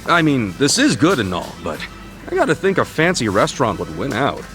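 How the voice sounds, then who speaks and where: An actor voicing an easygoing, goofy, good-hearted man in an English-dubbed video game, talking in casual, friendly, expressive banter. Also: medium pitch, brisk, music in the background. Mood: relaxed, friendly.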